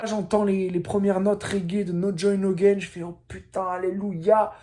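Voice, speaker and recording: A man's voice: high voice, flat delivery, moderate pace, mellow. A young man from France telling the story of his trip in a casual, slangy voice-over full of asides and fillers. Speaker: vlogger narrating his trip. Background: faint music.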